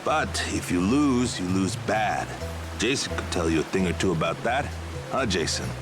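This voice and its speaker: A man's voice: sits low, animated, moderate pace, over music. A man voicing a knowing, streetwise pirate crewman in a video game, talking in casual, punchy phrases. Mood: matter-of-fact.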